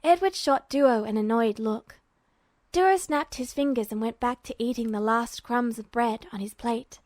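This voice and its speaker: Woman reading a story, highish voice, narrator style, dry close recording. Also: measured pace, darkish tone, no echo. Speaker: podfic narrator. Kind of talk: scripted fiction narration.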